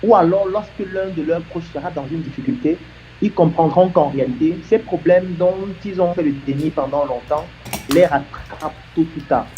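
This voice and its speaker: A man's voice: a little high, normal speed, highs cut off, a little hiss or hum. A man making an earnest, emphatic argument in a discussion, building his points with examples.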